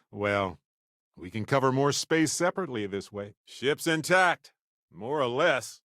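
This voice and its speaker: An actor playing a chatty, good-natured space explorer in a video game, speaking in a playful, conversational way with wry expressiveness. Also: male voice, medium pitch, expressive, measured pace, fairly bright tone, dry recording, clean studio sound. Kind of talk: video game companion voice lines.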